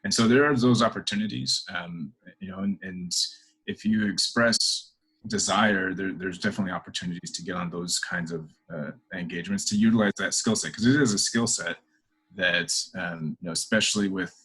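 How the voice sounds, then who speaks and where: Deep husky man, halting answers. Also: fairly bright tone, rough recording. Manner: hesitant, many fillers and restarts. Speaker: interview guest, corporate professional. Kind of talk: casual interview answers.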